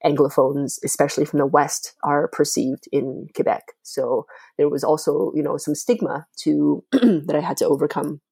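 A woman's voice: sits low, normal speed, vocal fry, dark tone, husky, no room sound. A young woman from China, a Mandarin native speaker, talking thoughtfully in an interview with many fillers and restarts. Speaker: podcast host, guest. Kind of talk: casual interview talk.

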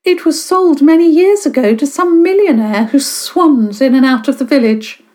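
A woman's voice: high voice, lively intonation, slow pace, darkish tone, voice pushed up. A woman voicing a dramatic village woman who tells a ghost story with theatrical flourish. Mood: disapproving.

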